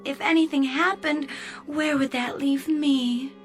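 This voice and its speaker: A woman's voice: sits high, fairly even intonation, unhurried, faint music behind. An actress playing a sweet, needy woman's voice left alone aboard a spaceship in a radio drama, coaxing and mildly scolding. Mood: coaxing, plaintive.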